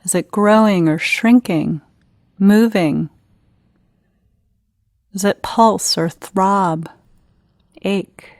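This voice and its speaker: A woman gives guided meditation instructions at a slow, measured pace. Her phrasing is gentle and unhurried, broken by pauses, and her mid-pitched voice sits in a dry space with no room echo.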